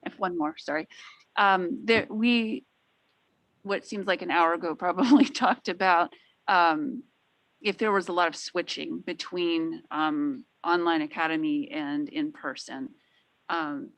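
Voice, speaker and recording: A woman board member raises a point in a halting, hedging way, full of fillers. Her voice sits low, and the sound is close and dry. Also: a bit bright, no echo. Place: school board meeting.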